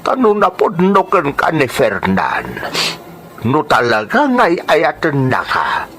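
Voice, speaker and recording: Elderly father, radio drama: Ilocano accent, tender and grieving, slow, swinging intonation, music bed. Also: a little high, wildly swooping pitch, a bit bright, a little hiss or hum, heavily acted. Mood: sorrowful. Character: sorrowful, tender old father. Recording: music under the voice.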